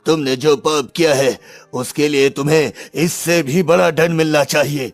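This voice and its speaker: A man's voice: fairly high pitch, fairly even intonation, normal speed, faint music behind, close to an everyday voice. A man voicing a stern, solemn holy hermit in a children's cartoon story, speaking reprovingly.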